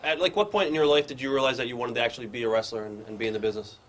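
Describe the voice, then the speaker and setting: A man's voice: mid-range voice, very fast, fairly bright tone. A man hosting an interview, asking straightforward questions. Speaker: interviewer, host.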